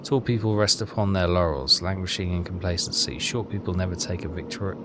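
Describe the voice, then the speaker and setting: A man's voice: low voice, a little fast, background noise. A man giving casual game commentary and reading text aloud, hesitant and repeating himself as he thinks aloud. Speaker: let's player. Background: game music.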